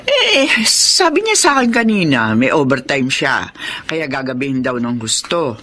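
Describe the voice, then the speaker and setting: A woman's voice: a bass voice, sing-song, moderate pace, fairly bright tone, heavily acted. An actress playing a sympathetic older mother in a radio drama, sighing and pleading in manner. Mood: explaining. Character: sympathetic, soft-hearted older mother and grandmother.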